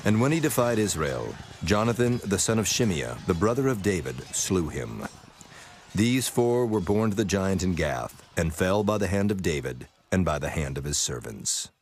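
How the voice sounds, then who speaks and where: A man narrating a dramatized scripture reading in a solemn, measured manner. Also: deep voice, sing-song. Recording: prominent music behind the voice.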